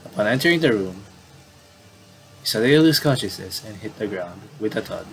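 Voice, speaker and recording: A man doing casual game commentary and reading story text aloud, haltingly and with repeated words. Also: on the low side, expressive. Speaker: gaming video commentator. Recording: clearly noisy home recording.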